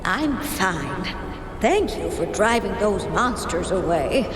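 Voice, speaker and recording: A woman's voice: medium pitch, very animated, slow. A woman voicing a kindly, motherly villager in a video game, tender and encouraging. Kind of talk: acted video game dialogue. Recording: echoey reverb with music behind.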